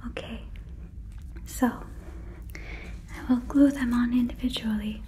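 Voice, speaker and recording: A woman's voice: on the high side, fairly even intonation, slow pace, dark tone. A woman voicing a friendly, naive female alien in an ASMR roleplay, whispering breathily and very close to the mic with soothing, stilted, formal phrasing. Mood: gently busy, pleased. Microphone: fluffy microphone, very close.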